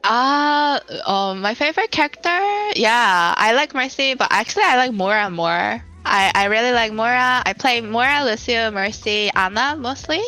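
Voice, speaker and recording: A woman talks in a casual interview, her voice high and very bright. She is chatty and giggly, like someone in relaxed conversation. Music plays behind her. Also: normal speed, hardly any pauses, rough recording. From South Korea. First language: Korean. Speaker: esports team assistant manager being interviewed.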